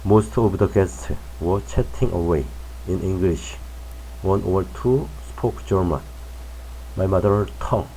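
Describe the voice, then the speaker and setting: A man reading a magazine article aloud for English learners in a careful, measured way. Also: sits low, dark tone, slight background noise, rough recording. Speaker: English news reader.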